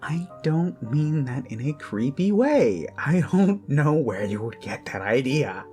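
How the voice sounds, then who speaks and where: A performer of unclear sex improvising an ASMR roleplay as an obsessed kidnapper who dotes menacingly on a captive, halting and slipping into whispers. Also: medium pitch, big pitch swings, dark tone. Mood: creepy, coaxing. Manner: slow, halting, lapsing into whispers and giggles. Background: eerie background music.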